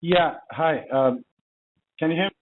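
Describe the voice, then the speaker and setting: A man calling in as a financial analyst, politely asking a list of questions with frequent pauses. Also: on the high side. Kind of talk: asking questions on an earnings call. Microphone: phone. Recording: phone line with faint noise.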